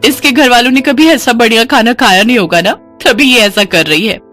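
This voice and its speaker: A woman's voice: a little high, fairly quick, a bit bright, soft background music, natural voice. A woman voicing a spiteful, mocking elder sister-in-law in a moral-story cartoon, with a sneering, exaggerated delivery.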